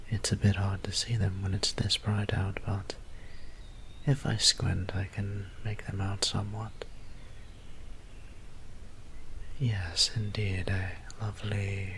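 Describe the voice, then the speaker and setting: A man acting as a gentle, wistful vampire priest in an ASMR roleplay. The low voice stays breathy and almost whispered, moving very slowly through formal, self-reproaching musings. The delivery is level and subdued, with little rise or fall. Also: a little hiss or hum. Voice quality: soft, breathy, near-whispered. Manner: formal, archaic, musing diction.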